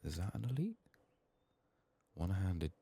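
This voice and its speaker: A man with a sick, strained voice murmuring soft-spoken ASMR gaming commentary, sometimes near a whisper, thinking aloud close to the mic. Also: very animated, measured pace. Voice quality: husky, gravelly, very low. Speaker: game streamer making a playthrough.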